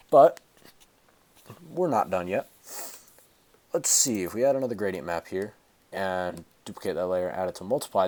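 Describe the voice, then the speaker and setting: A man's voice: low-pitched, darkish tone. A teenage man with a stuffy cold, casually talking through a tutorial on a headset, rambling with fillers and self-corrections. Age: teens. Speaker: tutorial host. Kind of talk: casual screen-recorded tutorial. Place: small room at home, indoors.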